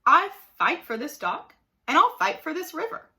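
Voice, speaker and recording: Lady doing a boastful young riverboat guy, high crowing hollers, storybook tall tale, real eager. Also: very animated, normal speed, sharp tone, heavily acted. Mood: defiant. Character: brash, eager young male frontier keelboatman brawler.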